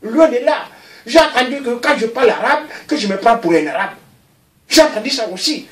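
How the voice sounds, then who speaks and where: A man in his fifties talks on at length in an interview, repeating himself, his voice swinging high and low with a lively, animated tone. He sounds roomy and set back from the microphone. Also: fairly quick, distant mic. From Mali. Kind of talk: recorded video interview.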